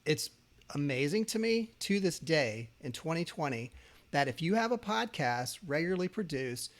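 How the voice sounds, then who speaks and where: A man with a fairly high voice hosts a podcast interview. He talks in a casual, enthusiastic way and shares his own experience with his guest. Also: very animated, normal speed. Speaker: podcast host.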